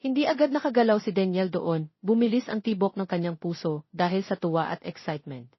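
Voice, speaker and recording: A woman narrates a scripted romance story. Her voice sits at a middle pitch. The recording is very dry and a touch muffled. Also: fairly quick, husky, no room sound. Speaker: audiobook narrator.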